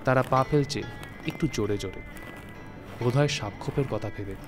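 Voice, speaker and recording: Measured male storyteller over soft music. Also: mid-range voice. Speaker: story narrator. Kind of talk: literary scripted narration. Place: recording studio.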